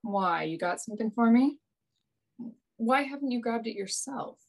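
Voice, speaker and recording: A woman's voice: on the high side, lively intonation, normal speed. A woman playing a brash, wry young male adventurer in a radio play, with a dry, teasing manner. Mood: brash, eager.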